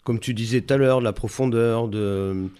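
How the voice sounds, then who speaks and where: A man's voice: medium pitch, fairly even intonation, normal speed, warm tone, smooth. A man hosting a podcast interview, prompting his guest and finishing his thoughts. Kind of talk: interview questions.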